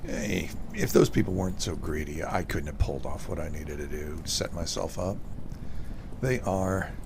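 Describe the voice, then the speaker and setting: A man's voice: rumbling low, moderate pace, creaky, noisy background. A man playing a bitter recluse in a radio drama, muttering to himself in a monologue. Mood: contemptuous. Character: bitter reclusive man, self-satisfied and uneasy.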